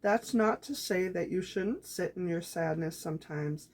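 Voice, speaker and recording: A woman vlogger reading her handwritten journal entries aloud in a calm, reflective way, her voice growing raspy. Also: fairly low pitch, unhurried. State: voice getting raspy.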